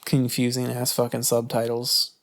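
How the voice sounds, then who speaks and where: A man's voice: mid-range voice, completely flat delivery, moderate pace. Young man in casual, sweary movie chat. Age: young adult. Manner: sweary, hesitant, informal. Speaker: podcast co-host.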